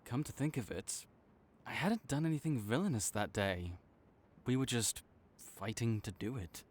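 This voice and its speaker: A man playing an arrogant, teasing supervillain rival with a soft spot, speaking theatrically to the listener in an audio roleplay. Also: mid-range voice, animated, moderate pace, close to an everyday voice. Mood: playful, gloating. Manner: smug, teasing, theatrical with sighs. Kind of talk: dramatic roleplay monologue spoken to the listener.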